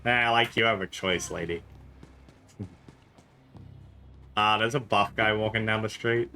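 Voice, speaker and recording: A man's voice: lowish voice, a little slow, pushed and bright, soft background music. A man chatting while playing a co-op game, asking curious questions and making wry remarks. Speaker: co-op player. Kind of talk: casual gameplay chat.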